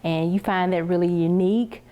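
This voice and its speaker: A woman's voice: on the low side, fairly even intonation, moderate pace, warm tone. A woman educator giving an unscripted interview answer. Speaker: educator, college graduate.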